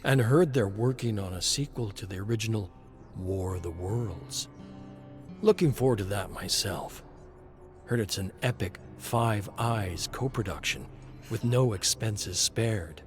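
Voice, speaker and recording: Narrator as male field agent, low voice, dry, sceptical, audio story, music behind. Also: measured pace, close to an everyday voice. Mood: dry, sarcastic.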